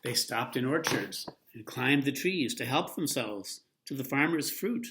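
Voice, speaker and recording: A man reading a picture book aloud as a storyteller, with a lively, expressive delivery. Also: mid-range voice, unhurried, a little hiss or hum.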